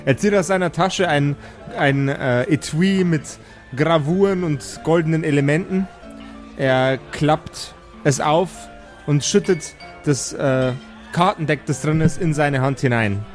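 A man narrating an improvised tabletop role-playing game as game master, haltingly and searching for words. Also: on the high side. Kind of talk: improvised pen-and-paper narration. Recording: music playing behind the voice. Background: background music.

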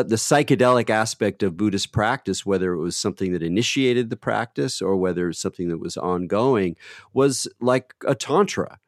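A man with a booming voice talking in a rambling, thoughtful way, full of fillers and restarts. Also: no echo, studio quality. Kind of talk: thoughtful conversational talk.

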